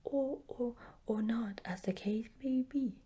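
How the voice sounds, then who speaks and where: Woman plays wistful bear of little brain for bedtime storybook: raised pitch, soft breathy tone, hesitant delivery. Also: slow, exaggerated voice. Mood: dreamy, wistful. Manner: hesitant, stammering. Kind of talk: children's bedtime storybook character voice.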